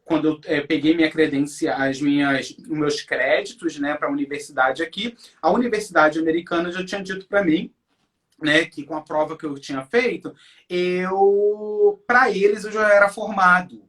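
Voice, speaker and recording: A man's voice: on the high side, fairly even intonation, moderate pace, a bit bright. A Brazilian man in his forties speaking as an interview guest, telling his story emphatically and often addressing the audience with tag questions. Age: 40s. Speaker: interview guest, English teacher. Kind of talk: conversational interview, personal storytelling.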